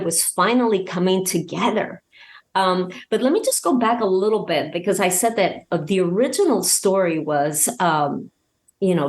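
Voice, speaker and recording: A woman guest chatting casually in an interview about her own creative work, acting out bits of dialogue as she tells it. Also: lowish voice. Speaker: guest, audio drama podcast creator.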